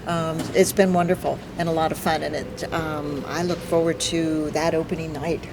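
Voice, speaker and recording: Man, higher voice, upbeat, agreeable interview guest, faint noise. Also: flat delivery, moderate pace, vocal fry. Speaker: community theatre director. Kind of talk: casual interview chat.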